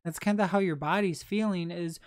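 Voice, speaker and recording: A young man hosting a late-night livestream, chatting in a soft, calm, unhurried way. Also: high voice, clear, resonant tone, no echo, studio quality. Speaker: livestream host.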